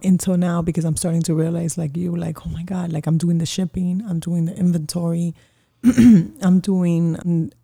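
Chatty woman with gravelly dark voice. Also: fairly low pitch, little pitch movement, normal speed. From Colombia. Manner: chatty, rambling, frequent fillers and restarts. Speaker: guest, small business owner. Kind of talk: casual interview chat.

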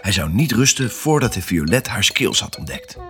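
A man's voice: lowish voice, sing-song, moderate pace, fairly bright tone, faint music behind. A man narrating a scripted children's story as its storyteller.

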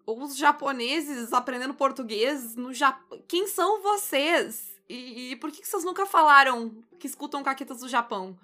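A woman's voice: high-pitched, moderate pace, few pauses, sharp tone, faint music behind. A woman co-hosting a podcast in casual chat, wry and analytical about the show's numbers. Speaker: podcast co-host.

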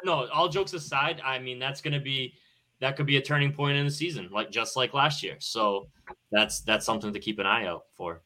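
A man's voice: mid-range voice, brisk, pushed and bright, a little hiss or hum. A man talking casually and earnestly about his team on a podcast, with the odd swear word. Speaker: podcast panelist. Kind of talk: casual sports chat.

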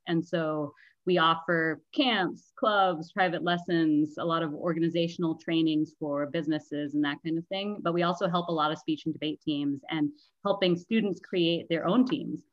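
A woman's voice: low-pitched, no echo. A woman in her thirties from the San Francisco Bay Area, the founder of a nonprofit, answering interview questions articulately and laughing easily. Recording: dry, dull lo-fi audio.

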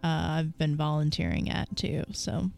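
A young woman hosting a radio show, a little raspy and stuffy from a cold, chatting casually and laughing at herself. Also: low voice, very animated, normal speed, a little mellow. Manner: self-deprecating, laughs often. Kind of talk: casual chat.

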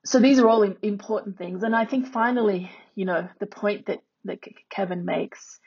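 A woman speaking conversationally as an expert commentator, reflecting on a podcast interview, with a husky, creaky voice. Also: lowish voice, darkish tone, lo-fi sound.

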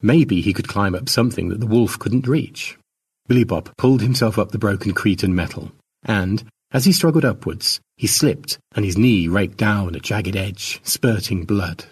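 A man narrating a scripted short story in a dramatic storytelling manner, with a husky voice. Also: fairly low pitch, normal speed. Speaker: author narrating his own short story.

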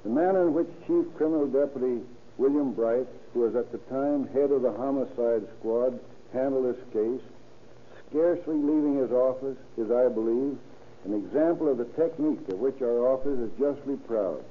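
A man giving a scripted, formal 1930s radio address as a guest official, in a measured manner. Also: mid-range voice. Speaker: county sheriff, guest speaker. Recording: tinny, faintly noisy 1930s radio.

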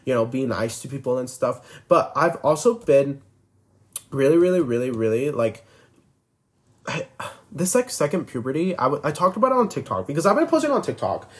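A man's voice: medium pitch, sing-song, moderate pace. Twenty-year-old male podcast host, rambling and filler-heavy, voice swooping up and down. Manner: rambling, filler-heavy, restarts sentences constantly. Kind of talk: casual solo podcast chat.

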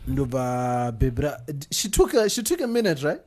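A man's voice: mid-range voice, huge pitch swings, normal speed, a little mellow. A man hosting a podcast, telling stories animatedly with Shona phrases mixed in and frequent laughs. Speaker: podcast host. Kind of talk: casual chat.

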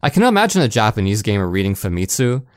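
Low-voiced man in dry, close podcast sound. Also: big pitch swings, quick pace, no room sound. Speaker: podcast co-host. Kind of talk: casual gaming podcast chat.

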